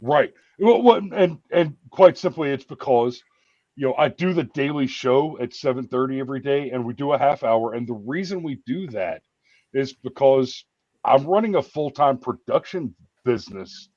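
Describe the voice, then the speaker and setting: A man's voice: mid-range voice, animated, moderate pace, darkish tone, dry recording. A man who hosts his own YouTube show, chatting and bragging at length on a live call through his webcam. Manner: chatty, boastful, rambling. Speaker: YouTube show host and video producer. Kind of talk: casual chat on a live show. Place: home, on a video call. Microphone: webcam microphone. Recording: dull lo-fi webcam audio.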